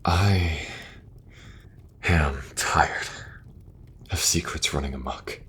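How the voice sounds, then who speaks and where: A man playing an earnest young king who speaks gently and falters a little when things get awkward. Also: rumbling low, very slow, breathy edge, full character voice. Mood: warm. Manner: gentle, soft-spoken, faltering at awkward moments. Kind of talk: audio roleplay acting.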